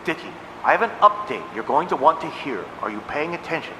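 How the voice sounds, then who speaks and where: An actor playing an earnest, nerdy amateur scientist in an audio drama, explaining things precisely and dramatically. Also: male voice, on the high side, big pitch swings, brisk. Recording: reverberant, noisy background.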